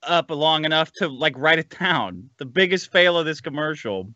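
A man's voice: a little high, expressive, moderate pace, sharp tone, no room sound, dull audio. A man chatting casually on a podcast in a sardonic, mocking way with plenty of swearing. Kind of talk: casual, profane podcast chat.

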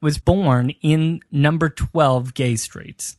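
A man co-hosting a podcast, telling local history and ghost stories with dramatic pauses. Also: on the high side, steady tone, dry recording, studio quality. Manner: builds tales with dramatic pauses. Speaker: podcast co-host. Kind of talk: storytelling history chat.

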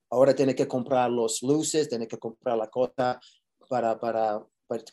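A man's voice: mid-range voice, mellow. A man, an actor, answering interview questions casually, mixing Spanish and English with many fillers and restarts.

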